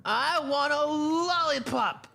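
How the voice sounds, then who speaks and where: A woman voicing a stubborn, excitable little child in an audio drama, insistent and childlike. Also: high voice, slow, creaky, heavily acted. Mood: demanding. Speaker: actor.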